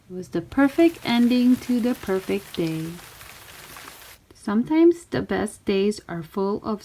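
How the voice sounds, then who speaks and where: A woman's voice: medium pitch, a little mellow, poor audio. A woman reading a children's story aloud, expressively acting out characters and sound words. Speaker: storybook reader.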